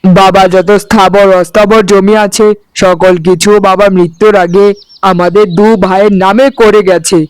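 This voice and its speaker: An actor playing a dutiful, tender and hurt elder brother, speaking earnestly in a melodramatic cartoon story. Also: male voice, sits high, flat delivery, measured pace, clear, resonant tone, no echo, rough recording. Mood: hurt, resigned. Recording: music under the voice.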